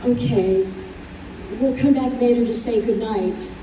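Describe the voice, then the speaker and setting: Actress as sad bewildered woman, old-time radio drama, slow delivery, high voice, roomy distant live recording. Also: noisy background, close to an everyday voice. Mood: sad, resigned. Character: sad, bewildered woman, a reincarnated man named Carl.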